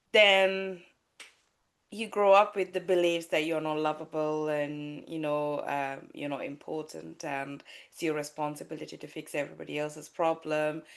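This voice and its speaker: A woman's voice: low-pitched, fairly bright tone. A woman from Sri Lanka, a life coach, telling her personal story candidly in a podcast interview, with many restarts and fillers. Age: adult with a child.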